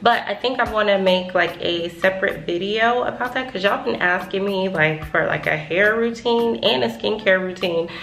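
A woman's voice: medium pitch, moderate pace, vocal fry, few pauses, a bit bright, faint music behind. A woman, chatting casually to her viewers in a home vlog and calling them y'all. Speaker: vlogger. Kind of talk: casual vlog chat to camera. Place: home rooms and a parked car.

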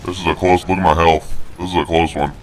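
Man putting on a very deep snarky monster voice. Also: flat delivery, quick pace, natural voice. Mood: frustrated. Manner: snarky, crude, jokey trash talk. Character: snarky big monster brute playing a fighting game. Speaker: gaming video commentator. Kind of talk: casual gameplay commentary.

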